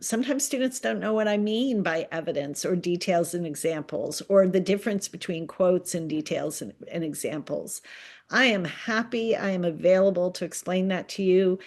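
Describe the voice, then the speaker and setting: A middle-aged woman instructor giving earnest advice to her students, pausing often with ums. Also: on the low side, a little mellow. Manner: earnest, many filler ums. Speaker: college instructor. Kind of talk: recorded class talk to students.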